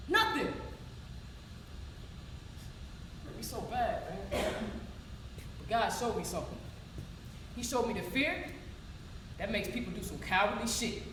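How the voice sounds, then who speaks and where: A woman's voice: high voice, exaggerated voice. A woman playing a wounded yet faithful grieving woman in an emotional, colloquial theatrical monologue. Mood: sorrowful. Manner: emotional, colloquial, emphatic, pleading. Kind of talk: theatrical one-act stage monologue. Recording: roomy echo, distant mic, loud noise.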